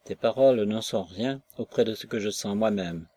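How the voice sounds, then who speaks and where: A man's voice: lowish voice, normal speed, mellow, lightly acted. A man voicing a remorseful nobleman who speaks in an earnest, pleading way.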